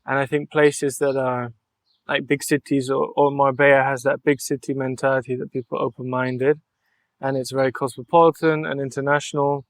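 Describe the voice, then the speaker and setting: A young man with a mid-range voice chats in a relaxed way as a podcast guest. He speaks earnestly and thoughtfully, letting his sentences run on. The sound is dry and close, with no room echo. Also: medium pitch, brisk. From Mallorca, Spain. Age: young adult. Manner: earnest and reflective, thinks aloud in long flowing sentences. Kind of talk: relaxed interview conversation.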